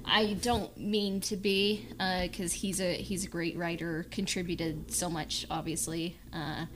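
A woman's voice: fairly low pitch, little pitch movement, a little slow, background noise. A woman co-hosting a podcast in a casual fan-chat style. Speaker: podcast co-host.

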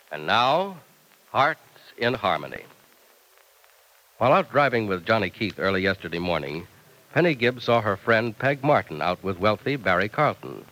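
An American man works as an old-time radio announcer. His bright, mid-pitched voice swings expressively as he reads scripted copy with polished emphasis. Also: poor audio.

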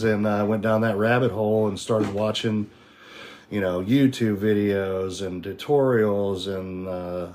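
A hoarse man losing his voice, a guest farmer in a casual interview, telling his story in an eager, rambling way. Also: lowish voice, a little mellow. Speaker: podcast guest, urban microgreens farmer.

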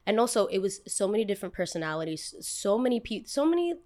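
A woman's voice: mid-range voice, big pitch swings, quick pace, a little mellow. Young jersey girl podcast host, casual n slangy, really emphatic, says it blunt. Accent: New Jersey. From New Jersey, US. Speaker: podcast host, content creator.

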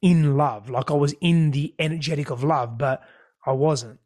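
This is a man whose was Greek. He talks openly and reflectively as an interview guest, often doubling words mid-thought. His voice sits a little high for a man. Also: moderate pace, darkish tone. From Australia. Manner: candid, repeats words when searching.

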